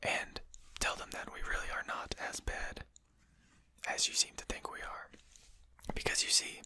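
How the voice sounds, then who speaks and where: Whispering, courteous vampire host, acted out softly. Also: close to an everyday voice. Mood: reasonable, explaining. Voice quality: breathy unvoiced whisper. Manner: formal, polite, deliberate phrasing. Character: courteous, genteel vampire host lecturing a captured hunter. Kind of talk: whispered ASMR roleplay.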